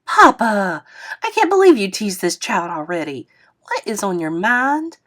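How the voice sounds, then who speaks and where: A woman voicing a kind but scolding motherly woman who fusses over a child in a lively way. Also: mid-range voice, a little slow. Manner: warm, animated, fussing.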